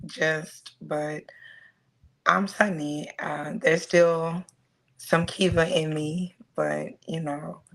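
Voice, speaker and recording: A woman's voice: low voice, flat delivery, extremely slow, gravelly, rough recording. A woman from Houston, telling her personal story casually and reflectively as an interview guest. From South Side of Houston, United States.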